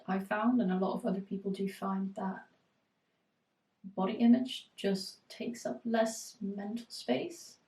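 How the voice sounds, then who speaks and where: A woman with a cold, her voice going hoarse, coaching a live Q&A and giving reflective, steady advice with frequent fillers. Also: mid-range voice, echoey room, recorded from a distance, rough recording. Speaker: eating disorder recovery coach.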